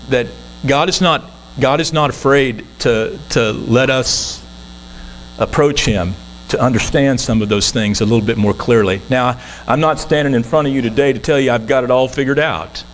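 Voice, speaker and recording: A man's voice: medium pitch, normal speed, a little hiss or hum, rough recording. A man in his sixties, a guest minister, preaching an earnest, conversational sermon from the pulpit of a church.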